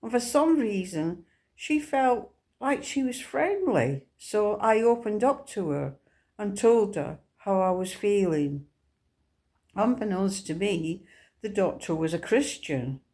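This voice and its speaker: Slow-spoken Scottish woman sharing testimony. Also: medium pitch, lively intonation, darkish tone. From Scotland. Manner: earnest, unhurried storytelling. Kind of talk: personal faith testimony.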